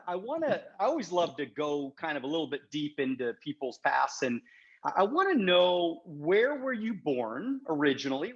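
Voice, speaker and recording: Male interview host, husky and very lively in intonation, informal, recorded in dull lo-fi sound. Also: fairly high pitch. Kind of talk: casual interview conversation. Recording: muffled, dull lo-fi audio.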